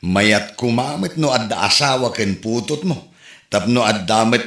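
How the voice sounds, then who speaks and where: A man with an Ilocano accent plays a joking friend in a comedy skit, laughing as he ribs his buddy. He speaks slowly in a lowish but bright voice, with lively swings in pitch. There is a clear room echo. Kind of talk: comedic dialogue acting.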